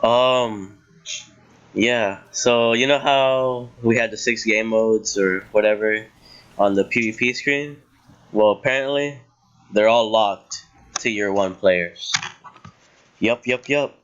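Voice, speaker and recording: A man's voice: on the low side, slow pace, pauses often, fairly bright tone, muffled recording. A young man getting sick, ranting in casual voice-over commentary over gameplay, rambling with many fillers and restarts. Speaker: gaming channel host.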